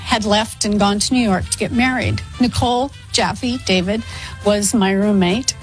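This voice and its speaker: A woman's voice: mid-range voice, moderate pace, soft background music. A woman, a voice actress telling anecdotes in an interview. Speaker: cartoon voice actress.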